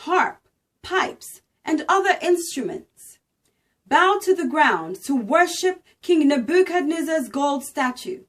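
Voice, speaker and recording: A woman acts out a royal herald in a dramatic scripture reading. The delivery is high and very slow, with big swings of pitch. Each command is proclaimed sternly, a little distant from the mic. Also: exaggerated voice.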